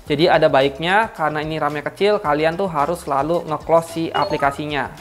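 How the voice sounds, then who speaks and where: A woman's voice: sits low, fairly even intonation, fairly quick, faint music behind. A woman giving a chatty, slangy product review, talking straight to her viewers. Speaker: car audio reviewer.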